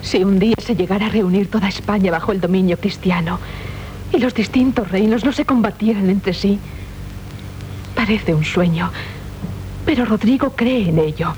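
A woman's voice: moderate pace, darkish tone, close to an everyday voice. An actress playing a proud, impetuous young noblewoman of medieval Castile in theatrical radio drama, with a Castilian Spanish accent. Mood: thoughtful. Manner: haughty, impetuous, melodramatic. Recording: noisy, rumbling 1970s radio recording.

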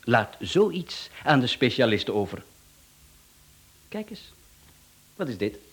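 A man's voice: medium pitch, big pitch swings, takes it slowly, slightly rough, exaggerated voice. A man with a Flemish accent playing a smug, chatty professional hitman in a radio drama, speaking with mocking politeness and self-assurance. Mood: smug, matter-of-fact.

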